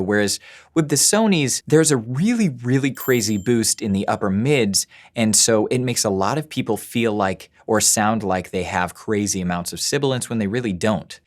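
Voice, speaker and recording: Man, lowish pitch, casual joking tutorial, studio at work. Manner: chatty, joking and self-deprecating. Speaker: voice-over studio owner and teacher. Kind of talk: gear tutorial video.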